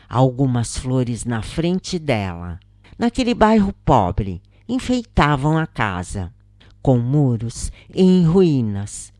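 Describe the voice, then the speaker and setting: A Brazilian woman narrates a first-person account in a low voice. Her delivery is solemn and deliberate, with slow pacing and dramatic swings in pitch. She phrases each thought expressively, as in an audiobook. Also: mellow, no room sound, studio quality. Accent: Brazilian Portuguese.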